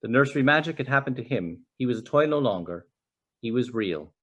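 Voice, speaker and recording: A man reading a children's story aloud as an expressive narrator. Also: mid-range voice, brisk. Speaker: storybook reader.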